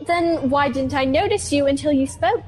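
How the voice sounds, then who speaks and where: A woman's voice: high voice, moderate pace, a little mellow, a little hiss or hum, close to an everyday voice. A young woman playing a sarcastic, grumbling teenage girl in a sci-fi radio play, blunt and sardonic.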